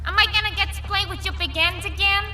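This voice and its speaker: Squeaky flirty moll, far off. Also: female voice, steady tone, fast, pushed and bright, exaggerated voice. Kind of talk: video game cutscene acting.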